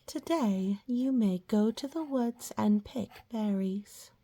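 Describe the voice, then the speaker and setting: A woman voicing a motherly lady rabbit in a storybook, gently cautioning her children in a sing-song way. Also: on the high side, slow pace, breathy edge, full character voice. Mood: kindly.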